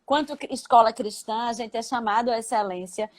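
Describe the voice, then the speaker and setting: High-voiced Brazilian woman co-host. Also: brisk. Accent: Brazilian Portuguese. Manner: affirming, checks in with the co-host. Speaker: school educator. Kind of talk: live-stream conversation.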